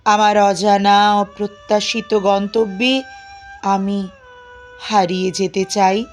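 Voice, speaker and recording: A woman giving an expressive, dramatic poetry recitation in a plaintive, emotive manner with drawn-out pauses. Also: mid-range voice. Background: music.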